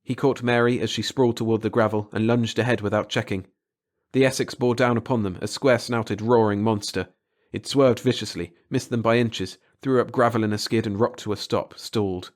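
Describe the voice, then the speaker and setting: A man's voice: on the low side, fairly even intonation, a little fast. A man reading a story aloud as an audiobook narrator, in a dramatic scripted style.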